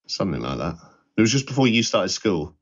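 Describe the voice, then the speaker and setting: A man's voice: low voice, big pitch swings, fairly quick. A middle-aged man reminiscing casually and chattily, repeating phrases for emphasis. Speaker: interviewee sharing memories. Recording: dull, slightly lo-fi sound.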